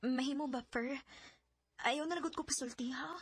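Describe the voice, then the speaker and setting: A woman's voice: high voice, moderate pace, no echo. An actress playing a young woman reluctantly turning down a suitor, hesitant, with a Bisaya accent, in a Filipino radio drama. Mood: apologetic, blunt.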